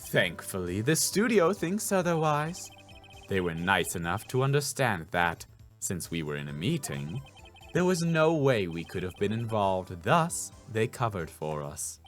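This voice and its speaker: A man voicing a cool, haughty, evasive woman on a witness stand in a video game, measured and condescending, over music. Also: on the low side, sing-song, voice pushed down.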